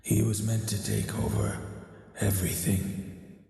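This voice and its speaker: A gravelly, echoing defeated warlord. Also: male voice, level tone, a little slow, mellow. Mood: bitter, weary. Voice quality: low, gravelly. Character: defeated, bitter older warlord father facing death. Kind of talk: dramatic game cutscene acting. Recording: echoey, long hall reverb.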